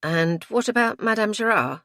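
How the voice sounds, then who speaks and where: Woman reading a practical, lively, no-nonsense mother. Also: mid-range voice, normal speed, no room sound, clean studio sound, pitched above the performer's own voice. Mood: explaining.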